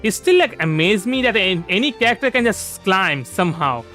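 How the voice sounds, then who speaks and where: A man's voice: sits high, sing-song, moderate pace. A man chatting casually through a game livestream, rambling and often restarting his phrases. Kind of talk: casual gameplay livestream commentary. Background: soft background music.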